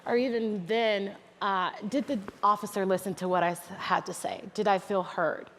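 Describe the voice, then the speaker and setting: A woman's voice: mid-range voice, steady tone, moderate pace, a bit bright. A woman moderating a live panel discussion, conversational and affirming, asking follow-up questions. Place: conference hall. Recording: hall reverb with faint noise.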